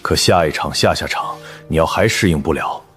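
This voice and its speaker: A man playing a blunt, hearty, chatty team veteran in an animated web drama, using slangy speech. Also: extremely low pitch, brisk. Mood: blunt, advising. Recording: background music under dialogue.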